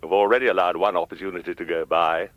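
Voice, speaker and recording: An actor playing a polite, formal crime boss who threatens with measured courtesy in a radio thriller. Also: male voice, deep voice, steady tone, dry recording, muffled recording, natural voice. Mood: silky threat. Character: coolly menacing, courteous male crime boss.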